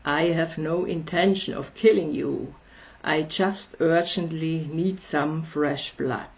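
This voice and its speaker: A woman's voice: low voice, takes it slowly, creaky, exaggerated voice. A woman with an Austrian accent voicing a polite, hungry male vampire in his prime, in a courteous, formal manner. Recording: slightly dull lo-fi audio.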